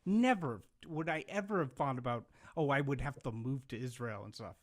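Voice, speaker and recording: A man hosting a casual podcast interview in a mid-range voice. He talks in an animated, rambling way, firing off long questions and swearing casually. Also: medium pitch, normal speed. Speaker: podcast host.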